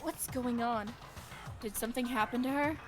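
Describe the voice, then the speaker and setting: Lady doing a calm deadpan teenage detective girl, high voice, blunt and composed, visual novel thing. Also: music in the background, lightly acted. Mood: cool, calm. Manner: measured, matter-of-fact, deadpan. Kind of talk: visual novel read-aloud acting.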